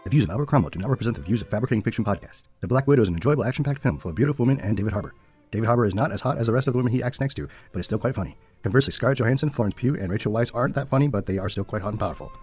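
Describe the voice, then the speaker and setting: A man's voice: fairly low pitch, animated, very fast, a little mellow, slightly rough, faint music behind. A man reading a scripted disclaimer and review summary as a podcast announcer.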